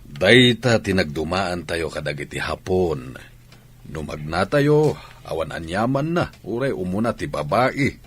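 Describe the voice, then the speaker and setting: A man playing a calm, instructive athletics coach in a radio drama, with an Ilocano Filipino accent. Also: low-pitched, measured pace, slightly rough, heavily acted. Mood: explaining.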